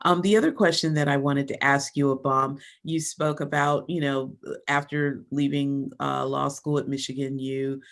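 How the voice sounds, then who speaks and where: A podcast host leads the interview with friendly, searching questions. The questions come with frequent fillers and restarts, at a middle pitch. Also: steady tone, normal speed, vocal fry, few pauses, a bit bright.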